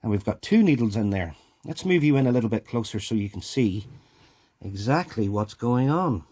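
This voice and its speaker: A man presenting a hobby video in a casual, hands-on way, explaining as he goes and often thinking aloud haltingly. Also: mid-range voice, lively intonation, darkish tone, husky.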